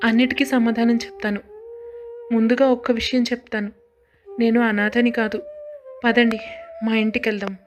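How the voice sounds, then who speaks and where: A woman's voice: fairly high pitch, flat delivery, normal speed, long pauses, lightly acted. A woman playing a caring, composed young wife in a scripted audio story drama. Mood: gentle, reassuring.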